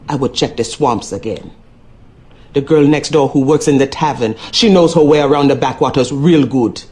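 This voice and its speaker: A woman's voice: deep voice, a little mellow. An actress playing a motherly, mysterious hoodoo woman with an American Southern accent in a video game. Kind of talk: video game character acting.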